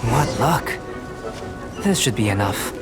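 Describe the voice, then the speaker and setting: A man playing a calm young alchemist researcher in a video game, measured, precise and detached. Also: medium pitch. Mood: calm, composed. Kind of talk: scripted game dialogue. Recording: game music behind the voice.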